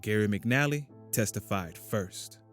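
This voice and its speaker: A man narrating an audio drama with an even, storytelling delivery. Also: on the low side, measured pace, faint music behind. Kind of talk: scripted narration.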